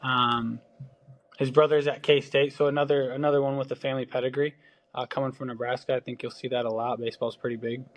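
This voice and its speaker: A man's voice: mid-range voice, level tone, fast talker. A man with a Wisconsin accent, a baseball scout talking through prospects in a casual podcast chat, loose and full of ums and restarts. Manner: loose, filler-heavy talk with frequent restarts.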